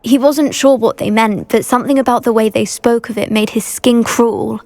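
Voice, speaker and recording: A woman's voice: fairly high pitch, moderate pace, a little mellow, no echo, lightly acted. A woman playing an earnest, well-spoken, anxious teenage schoolgirl witch with a British accent in a video game. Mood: grateful, uneasy. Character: anxious, polite teenage schoolgirl witch devoted to her uncle. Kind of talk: video game dialogue acting.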